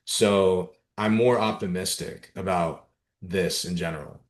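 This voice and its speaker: A man's voice: deep voice, unhurried. Laid-back young guy, slangy podcast guest. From Dallas, USA. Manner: relaxed, slangy, lots of "like" and "right?". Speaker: podcast guest, content creator. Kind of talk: casual podcast chat.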